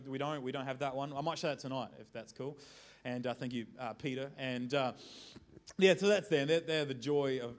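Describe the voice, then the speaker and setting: Higher-voiced Australian man preaching informally. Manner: casual, joking, halting with swings from soft to loud. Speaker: visiting preacher, pastor. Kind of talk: informal sermon to a congregation.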